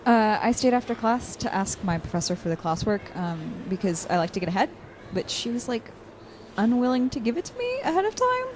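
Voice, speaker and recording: A woman's voice: fairly high pitch, very animated, fairly quick, a little hiss or hum. A woman playing a studious, guarded college student with a dry, slightly hesitant delivery, in naturalistic audio drama. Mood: dry, casual. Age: young adult.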